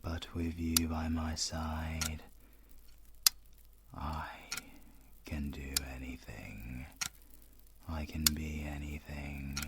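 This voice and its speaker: A British man playing a brooding, grieving werewolf villain devoted to his lover, speaking haltingly and emotionally in an audio roleplay. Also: a bass voice, fairly even intonation, very noisy, heavily acted. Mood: tender, brooding. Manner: slow, halting, emotional delivery.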